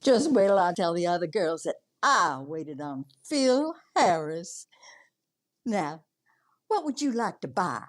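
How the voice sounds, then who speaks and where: A woman's voice: lowish voice, very animated, takes it slowly. An actress playing a sweet, flirty, gushing salesgirl with a Southern accent in a radio comedy. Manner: gushing, coy. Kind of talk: 1940s radio comedy acting.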